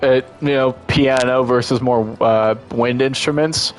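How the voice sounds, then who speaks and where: A man's voice: medium pitch, fairly even intonation, a little slow, soft background music. A congested man giving casual, enthusiastic gameplay commentary as a streamer, rambling and restarting his sentences often.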